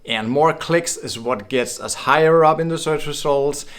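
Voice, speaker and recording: A man's voice: a little high, moderate pace, clean tone. Upbeat Danish man explaining to camera. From Denmark. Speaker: blogging course creator.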